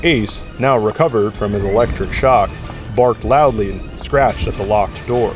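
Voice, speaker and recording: Male narrator, fairly low voice, scripted audio drama storytelling, music underneath. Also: a little slow.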